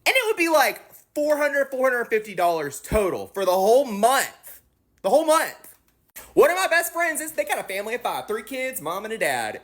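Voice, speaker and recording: A woman's voice: mid-range voice, a bit bright. A woman ranting to camera, exasperated and swearing freely. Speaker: social media poster.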